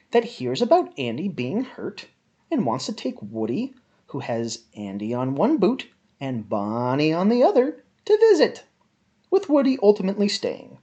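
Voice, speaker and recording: A woman giving a theatrical dramatic reading of a written review in an overwrought, melodramatic manner with drawn-out emphasis. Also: fairly low pitch, darkish tone. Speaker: reader of a written film review.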